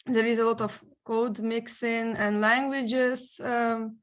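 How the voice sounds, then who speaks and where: A woman's voice: a little high, flat delivery, slow pace. A woman student from Ukraine with a Ukrainian accent, asking hesitantly and searching for words. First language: Surzhyk.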